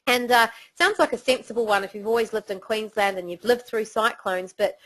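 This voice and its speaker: A woman's voice: fairly high pitch, fairly quick, a bit bright, low-quality recording. A middle-aged woman with a New Zealand accent presenting a business webinar, chatty and encouraging with frequent fillers.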